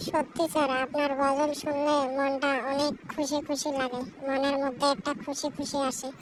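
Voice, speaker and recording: Female office assistant for amateur cartoon woman actor: high voice, Bangladeshi accent, deferential, eager, heavy noise on the track. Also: little pitch movement, normal speed, close to an everyday voice. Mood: admiring, chatty. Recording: very noisy recording with clicks.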